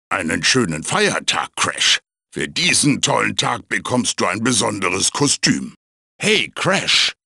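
A man voicing a wise, fussy magical tiki mask mentor in a video game, with a raspy, theatrical delivery. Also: medium pitch, pushed and bright, no echo, clean studio sound, exaggerated voice. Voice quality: raspy, gravelly. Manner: theatrical, emphatic, swooping delivery. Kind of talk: German video game voice acting, tutorial hints and quips.

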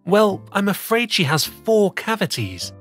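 Kangaroo dentist for a picture book, read by a narrator: kindly, earnest, somewhat husky, lively intonation. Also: medium pitch, a little slow, soft background music, voice pushed up. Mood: earnest concern. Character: concerned, kindly kangaroo dentist advising mother and child.

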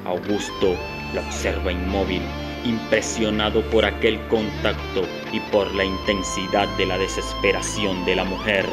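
Male narrator, radio soap, solemn and dramatic, fairly deep, clear guitar music behind. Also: flat delivery, unhurried, hardly any pauses.

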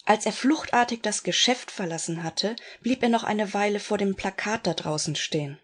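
A woman's voice: medium pitch, moderate pace, slightly rough, close to an everyday voice. A woman playing a polite middle-aged former actress in a detective radio play parody. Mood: reflective.

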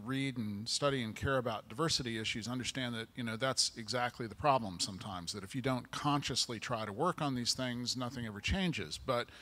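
A man's voice: mid-range voice, fairly bright tone. An older man speaking earnestly as a panelist in a large lecture hall, on a handheld mic. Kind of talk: panel discussion.

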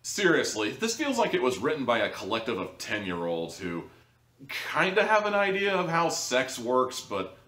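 A man's voice: mid-range voice, huge pitch swings, moderate pace, bright, forceful tone. A man in his thirties giving a casual, blunt and sarcastic review to camera, sounding exasperated. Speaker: audio drama reviewer, host. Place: living room, indoors. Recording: echoey room, distant microphone.

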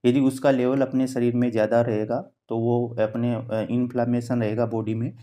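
A man in his thirties, a cardiologist, calmly explaining health tests to camera in a plain indoor room, steadily reading out numbers and ranges. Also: medium pitch, normal speed. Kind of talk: educational health talk to camera. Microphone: camera at close framing, head and shoulders.

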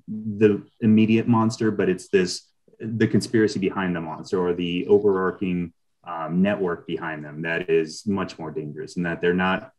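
A man in middle age speaks in a very deep voice over a video call. He talks as an interviewed writer, laying out his ideas in a thoughtful way. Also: lo-fi sound.

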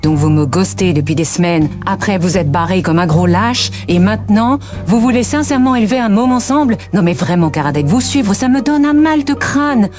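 A woman's voice: mid-range voice, expressive, brisk, few pauses, natural voice. A woman playing a brash, defensive, sarcastic pregnant woman in an audio drama, blunt and colloquial. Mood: defiant. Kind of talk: audio fiction dialogue. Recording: music behind the voice.

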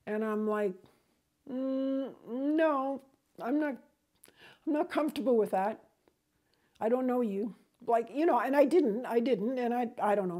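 A woman in her fifties recounts a hiking mishap in a casual, rambling way, often restarting her sentences and brightening when she acts out what people said. Her voice is mellow and soft-edged, picked up by a clip-on mic in a small indoor room. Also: medium pitch. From outside the United States. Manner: rambling, self-correcting, animated when quoting people. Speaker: hiking vlogger. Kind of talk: casual storytelling to camera. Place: small room indoors with LED light bar.

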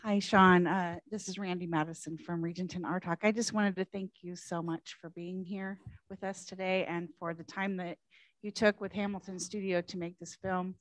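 Woman, low voice, appreciative, halting, handheld mic, dry sound. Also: steady tone, no echo. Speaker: consortium staff member. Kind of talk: thank-you remarks. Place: indoor meeting room.

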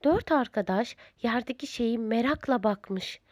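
A woman narrating a children's storybook in a deliberate, expressive storyteller's manner. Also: on the high side, no echo, studio quality.

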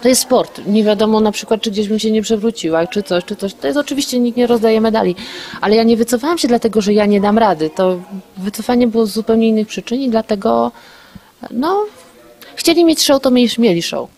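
A young woman athlete in a casual post-race interview, talking candidly and quickly, often restarting sentences and laughing lightly. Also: a little high, warm tone.

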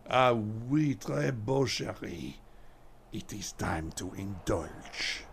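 An actor playing a suave, commanding French vampire lover, with a gravelly voice and formal, seductive delivery in a gothic radio drama. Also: male voice, fairly low pitch, animated, extremely slow, a little hiss or hum, full character voice. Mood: admiring.

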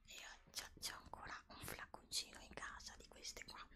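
A middle-aged woman in a soothing ASMR roleplay as a doctor, whispering breathily very close to the mic. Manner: soothing, unhurried, softly reassuring. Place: indoors, close-up in front of a dark backdrop. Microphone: desktop condenser mic with ear attachments, very close.

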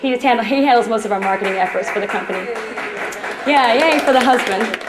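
A woman's voice: fairly high pitch, fast. A woman in her thirties from Trinidad, giving a chatty, upbeat live cooking demonstration as a chef in a department store. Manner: chatty and upbeat, jokes with the crowd. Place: department store demo kitchen. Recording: echoey store floor.

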